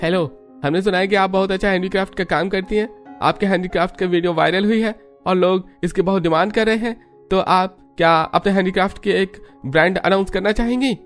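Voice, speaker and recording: A man voicing an eager, excitable young social media influencer in a cartoon story. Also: high voice, brisk, faint music behind, voice pushed up, natural voice. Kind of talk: Hindi cartoon story dialogue.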